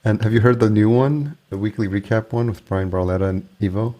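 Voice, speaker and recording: A fairly low-pitched man hosting a casual podcast conversation. He talks in a loose, chatty way and laughs easily. His enthusiasm for the subject keeps him going on tangents. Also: fairly quick, warm tone. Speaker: podcast host.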